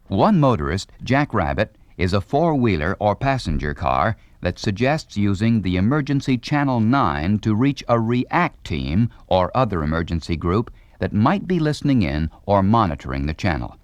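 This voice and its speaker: A man's voice: on the low side, sing-song, moderate pace, hardly any pauses, dry recording, muffled recording. A man reading scripted instructional narration with a polished, formal announcer delivery.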